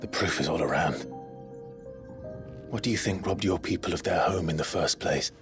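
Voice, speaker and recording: An actor playing a grave, resolute rebel leader in a video game, speaking in a measured, stern with a breathy undertone. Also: male voice, low-pitched, lightly acted. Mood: grave, insistent. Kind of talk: dramatic game dialogue. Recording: music behind.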